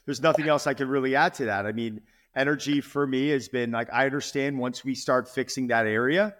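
An earnest man in his forties speaking as a fitness trainer on a podcast. His pitch sits in an ordinary middle range. He often repeats words as he builds a point. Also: brisk, a bit bright. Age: 40s. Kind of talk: podcast interview.

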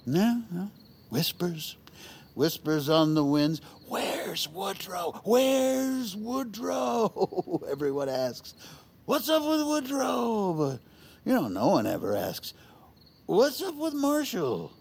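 Slurring, gravelly drunk in self-pity. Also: male voice, high voice, big pitch swings, takes it slowly, a little mellow, full character voice. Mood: drunk boasting. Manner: slurred, rambling drunken delivery. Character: drunk, self-pitying, rambling political man at a party. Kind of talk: audio fiction comedy drama acting.

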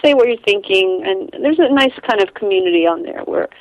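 A young Icelandic woman whose English has an American accent, talking loosely about her photography in an interview. She rambles, restarts often and laughs easily, at a middling pitch. The recording is dry and close. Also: lively intonation, brisk, no room sound, rough recording. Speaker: photographer guest. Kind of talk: casual interview conversation.

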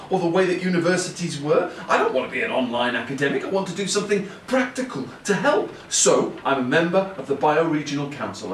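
An actor playing an earnest, halting brother who confesses and pleads with his sisters in an audio play. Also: fairly high pitch, recorded from a distance. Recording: roomy, off-mic, faint background noise.

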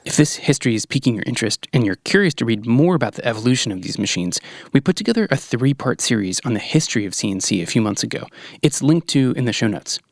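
A man narrates a podcast segment from a script, talking quickly with a gravelly edge. His voice sounds dry and close, with no room around it. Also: mid-range voice, expressive, no echo. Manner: brisk, informative. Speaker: podcast host.

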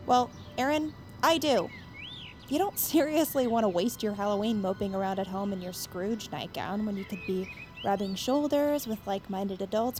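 A woman's voice: medium pitch, a little mellow, lightly acted. An actress playing an eager, chatty young woman who rallies her friends, in naturalistic audio fiction. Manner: hesitant rambling turning to pushy pep talk.

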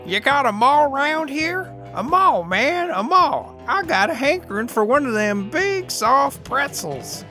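A tinny, high old desert drifter. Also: male voice, sing-song, unhurried, few pauses, sharp tone, soft background music, heavily acted. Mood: eager. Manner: drawling, folksy, chatty. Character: skinny old bearded desert drifter, cheerful and sly.

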